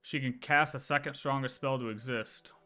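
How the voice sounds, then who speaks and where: Bright, forceful man livestreaming casually. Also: medium pitch, steady tone, moderate pace. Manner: emphatic, slangy, repeats phrases. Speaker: game livestreamer.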